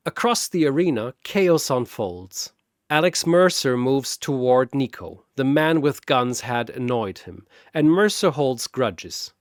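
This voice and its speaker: A man telling a scripted battle story in a measured, dramatic manner. He takes his time, and his pitch moves a lot through each line. The recording is dry and close. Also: expressive, no echo. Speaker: narrator.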